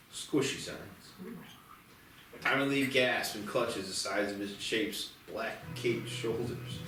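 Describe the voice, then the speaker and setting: Low-voiced man narrating in an echoey room. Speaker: screenplay narrator at a table read. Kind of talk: scripted narration, reading stage directions. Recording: distant echoey room, clear music behind.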